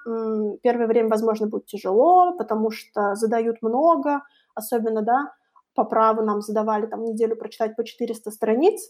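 A woman's voice: fairly high pitch, a little mellow. A young woman from Russia answering as an interview guest, talking informally and explaining points with imagined quotes. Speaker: interview guest, co-founder of a study-abroad project.

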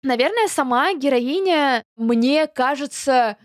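A woman's voice: high-pitched, expressive, slow, no room sound, studio quality. A young woman chatting casually as a podcast co-host, talking informally with slang and English loanwords. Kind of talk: casual chat about films.

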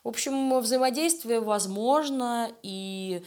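A woman's voice: on the high side, slow pace, darkish tone. A woman speaking as an interview guest, a creative director talking informally and emphatically, thinking aloud as she goes. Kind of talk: conversational interview talk.

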